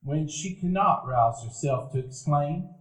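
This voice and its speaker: With the echo of a live stage around him, an actor plays a nostalgic man remembering his boyhood in a radio play. His voice sits a little high for a man, and he narrates in a measured, literary storytelling style. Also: lively intonation. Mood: tender, melancholy. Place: event hall before live dinner-theater audience. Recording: echoey live theater stage.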